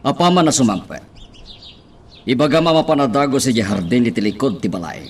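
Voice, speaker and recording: A man's voice: mid-range voice, expressive, brisk. A man playing a curt, bossy master of the house in an Ilocano radio drama. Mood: curt, weary.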